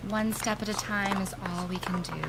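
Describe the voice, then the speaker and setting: An actress playing a solemn, gentle bereavement group leader in a fiction podcast, speaking in a measured, formal manner. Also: female voice, mid-range voice, little pitch movement, background noise, natural voice. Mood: gentle, consoling. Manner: measured, formal, deliberate phrasing.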